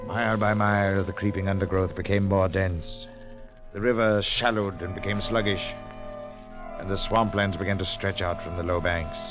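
A man playing a resolute explorer who narrates in grave, measured old-time radio adventure style. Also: low-pitched, fairly even intonation, close to an everyday voice. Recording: muffled vintage radio recording, music behind.

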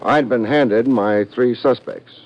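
A man's voice: mid-range voice, slow pace, natural voice. An actor playing a dry, wisecracking private detective who narrates his own case in an old radio drama, on a muffled old recording. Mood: brooding. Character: wisecracking hard-boiled male private detective. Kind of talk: 1940s radio drama acting.

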